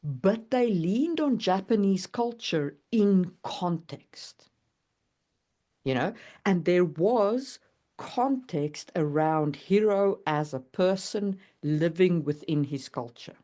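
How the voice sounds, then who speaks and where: Expressive woman in casual conversation. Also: fairly low pitch, slow. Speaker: podcast co-host and writing critic. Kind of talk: casual podcast chat about writing.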